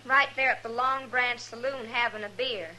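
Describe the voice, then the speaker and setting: A woman's voice: a little high, fairly even intonation, normal speed, crowd noise, natural voice, rough recording. A woman acting a simple, naive country girl in a 1950s radio western, with a rural American accent and an artless, literal way of talking. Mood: earnest.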